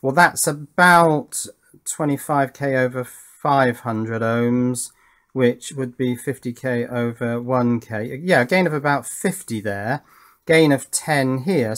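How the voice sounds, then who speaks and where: A man's voice: medium pitch, fairly bright tone. A man giving a casual, hands-on technical explanation in an informal, methodical way, as a tutorial host. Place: indoors at a workbench.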